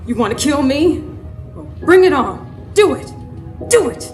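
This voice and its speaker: An actress playing a feisty, fearless wife in a live radio horror drama, speaking with sassy, dramatic defiance. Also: female voice, high voice, very animated, unhurried, darkish tone, soft background music. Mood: taunting. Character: feisty, jealous, fearless Southern wife fighting a witch. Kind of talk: live radio drama acting and first-person narration.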